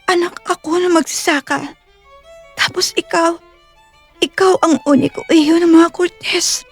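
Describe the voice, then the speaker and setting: A woman's voice: extremely high pitch, fairly even intonation, unhurried, faint music behind. A woman playing an anxious, humble young farmer's daughter in an audio drama. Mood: anxious tender.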